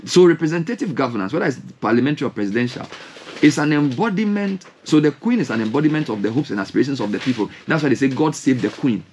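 A man's voice: mid-range voice, quick pace, a little hiss or hum. A young man with a Ghanaian English accent, a radio host in a studio, giving impassioned commentary full of rhetorical questions. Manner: animated, rhetorical questions, gesturing hands. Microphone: studio mic with headphones.

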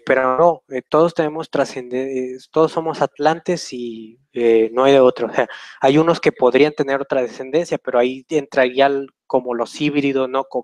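A man's voice: mid-range voice, no room sound. Hesitant young man on a video call. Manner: rambling, hesitant, many fillers and tag questions. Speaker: host answering questions. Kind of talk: casual live video Q&A. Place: small room indoors. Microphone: webcam on a video call.

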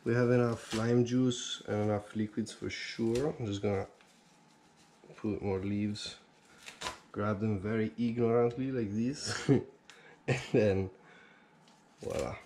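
Young man, upbeat kitchen chatter, head-mounted camera, home kitchen, clear background noise. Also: lowish voice, fairly even intonation, slow, pauses often, mellow. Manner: chatty, upbeat, thinking aloud with fillers. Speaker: home cook vlogger. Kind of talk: casual cooking commentary. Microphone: head-mounted action camera.

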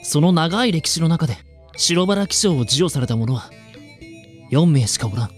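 Pompous, animated student council officer, acted by a man. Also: fairly high pitch, moderate pace, soft background music, natural voice. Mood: persuasive, pompous. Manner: formal, old-fashioned, pompous. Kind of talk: amateur voice drama acting.

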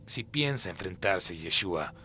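A man's voice: low voice, expressive, a little slow, bright, forceful tone, a little hiss or hum, exaggerated voice. A man voicing a deferential, cautious demon servant in a radio play, wary in manner. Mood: worried.

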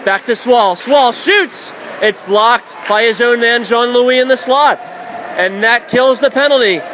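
A man calling hockey play-by-play on radio, rising to shouts when a goal goes in. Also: extremely high pitch, a faint crowd, slight background noise, low-quality recording. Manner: rapid, shouting up on goals.

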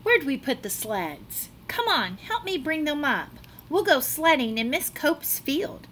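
Animated, high-pitched woman reading a storybook. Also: slight background noise. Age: middle-aged. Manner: animated, dramatic delivery with drawn-out words. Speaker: teacher reading a picture book aloud. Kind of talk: expressive children's storybook read-aloud. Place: small classroom, indoors.

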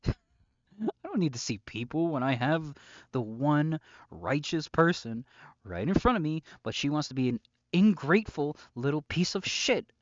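A man playing an obsessive, unstable captor and lover in an intimate audio roleplay, halting and brooding, swinging between pleading and threat. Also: a little high, animated, no room sound, highs cut off. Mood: bitter, possessive. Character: obsessive, unstable male yandere captor, menacingly tender lover.

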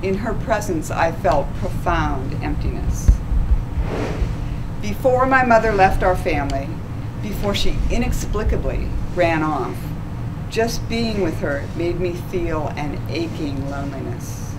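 A woman in her sixties reads her own personal essay from the page on a small stage. Her voice sits a little low, and she lingers over each phrase. Steady noise from the live room runs under the recording. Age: 60s. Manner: slow, deliberate, measured delivery. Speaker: author reading her essay. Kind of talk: literary reading. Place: small stage in a dark club.

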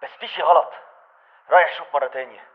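Fast, muffled Levantine militant man. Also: on the high side, slightly rough. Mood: wary, tense. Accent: Levantine Arabic. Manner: terse, clipped lines to comrades. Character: wary male militant fighter on patrol. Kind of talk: video game character dialogue.

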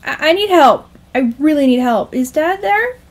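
A woman's voice: high voice, lively intonation, moderate pace, voice pushed up. A woman voicing a nervous, pleading young man in a storybook tale, with a whiny manner. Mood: panicked whine. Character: panicked, pleading young man trapped inside sea lion. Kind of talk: acted storytelling dialogue.

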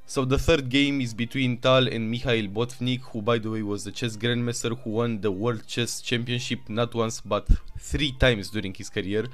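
A man with a Romanian accent narrating chess games move by move, with excited asides. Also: medium pitch, moderate pace, hardly any pauses, faint music behind. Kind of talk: chess game commentary.

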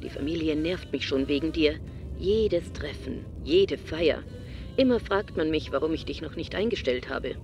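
Woman playing a low-voiced, effusive older aunt. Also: animated, normal speed, a little mellow, muffled recording, soft background music. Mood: effusive, nagging. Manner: effusive, nagging family talk. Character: chatty, doting older aunt, a police inspector. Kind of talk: fan audio drama acting in German.

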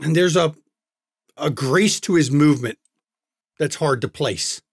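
A man running a tabletop game as game master, narrating with many pauses and restarts. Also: on the high side, husky, no room sound, studio quality. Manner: halting, many uhs and restarts.

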